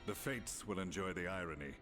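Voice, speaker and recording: An actor playing a grave, remorseful middle-aged former imperial commander in a game, speaking in solemn, formal, measured phrases. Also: male voice, deep voice, over music, close to an everyday voice. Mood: resolute, remorseful. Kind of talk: video game cutscene voice acting.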